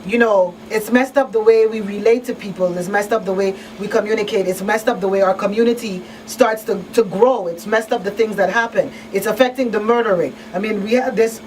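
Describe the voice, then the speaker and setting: An outspoken woman gives her opinions in casual, emphatic panel talk, often repeating herself for force. Her pitch is average and she sounds set back from the mic, with a faint room noise behind her. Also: a little fast, hardly any pauses, darkish tone, distant mic, rough recording.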